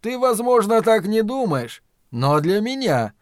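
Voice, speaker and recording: A man voicing a sinister, commanding dark master who taunts and purrs with false tenderness in an audiobook. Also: fairly high pitch, expressive, normal speed, dry recording, clean studio sound, close to an everyday voice. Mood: mockingly tender. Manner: imperious, taunting, swinging from roars to purring.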